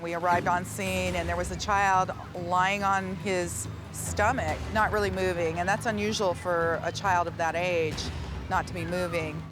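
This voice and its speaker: A lifeguard calmly recounting a rescue in an interview. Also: medium pitch, measured pace, over music.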